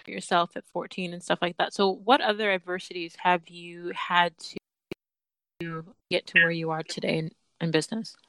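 A woman hosting a casual interview, relaxed and laughing often. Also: lowish voice, normal speed, clean tone, no echo, rough recording. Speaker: host.

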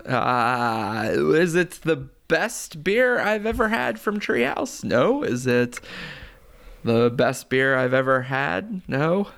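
A man's voice: medium pitch, animated, takes it slowly, vocal fry, fairly bright tone. A man with a Boston accent co-hosting a casual beer podcast, playful and teasing as he riffs on ideas. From Boston area. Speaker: podcast co-host. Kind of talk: casual chat.

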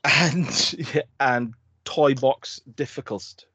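A man's voice: medium pitch, slow, very noisy. A man co-hosting a podcast, chatting casually in a jokey, rambling way with plenty of laughter. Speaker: podcast co-host. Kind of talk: casual chat.

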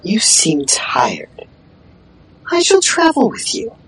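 A creepy formal spirit for an audio drama, drawn out words, wildly swinging pitch. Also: male voice, wildly swooping pitch, fairly bright tone, whispered, exaggerated voice. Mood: calm, pleased. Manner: slow, deliberate, formal. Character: mysterious otherworldly observer spirit who strikes bargains. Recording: clear noisy ambience.

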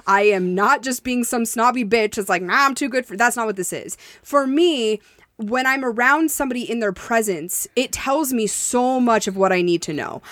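A woman co-hosting a podcast, talking candidly in a blunt, profane, animated way. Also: fairly high pitch, moderate pace, dry recording, studio quality. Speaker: podcast co-host. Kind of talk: candid casual chat. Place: Las Vegas.

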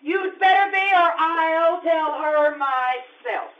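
A woman acting a wry, sweetly sarcastic wife in a screwball radio comedy, with a high voice and a pointed, commanding delivery. The live stage recording is a little muffled. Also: slow pace, background noise. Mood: insistent.

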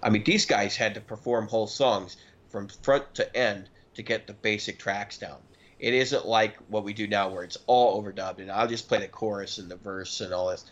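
A man's voice: lowish voice, fairly even intonation, moderate pace, fairly bright tone, dull audio. A man in his early thirties chatting casually and emphatically as a talkative podcast co-host. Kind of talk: casual chat about gear and recording.

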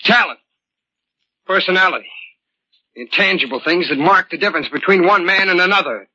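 A man's voice: sits high, fairly quick, pushed and bright, breathy edge, heavily acted. A man playing a bitter, neurotic, jealous brother with intense, accusing 1940s radio drama delivery. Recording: muffled 1940s radio broadcast.

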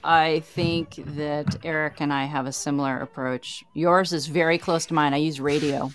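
A woman's voice: sits low, fairly even intonation, moderate pace. A woman co-hosting a casual talk show with wry, irreverent, teasing banter.

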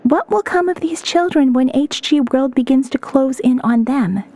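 A woman's voice: high voice, normal speed, warm tone. A woman playing a weary, sardonic survivor reading out her diary in an audio novel, in a wry, cynical, reflective way. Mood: somber, reflective. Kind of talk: first-person audio novel narration.

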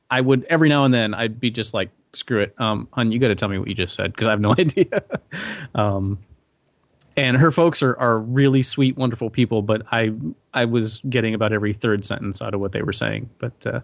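A man's voice: mid-range voice, moderate pace, gravelly, no room sound. An American man chatting casually in an easygoing way, laughing often. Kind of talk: casual podcast chat.

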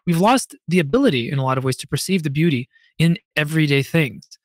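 A man with a somewhat high-set voice hosts a reflective solo podcast. He sounds literate and opinionated, leaning on key words and pausing deliberately for emphasis. The sound is dry, with very little room in it. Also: animated, no echo. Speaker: podcast host.

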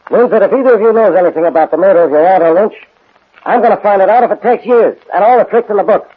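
An actor playing a gruff, irritable American police inspector, blunt and sarcastic, in a 1940s radio drama. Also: male voice, high voice, fast. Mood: stern. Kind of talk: 1940s radio detective acting. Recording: tinny old 1940s radio broadcast.

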